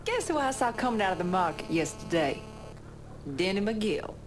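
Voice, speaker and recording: A woman's voice: medium pitch, sing-song, moderate pace, music in the background, close to an everyday voice. An actress playing a tough, foul-mouthed woman with a casual, streetwise manner in a video game cutscene. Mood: wry, gossipy. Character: tough, foul-mouthed woman, teasing old friend, privately insecure.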